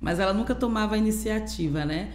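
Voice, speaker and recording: A woman in her forties, a pastor sharing her life story with candid earnestness. Her mid-pitched voice carries the echo of the room. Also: fast talker. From Pernambuco, Brazil. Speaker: guest pastor. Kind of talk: personal testimony in podcast conversation.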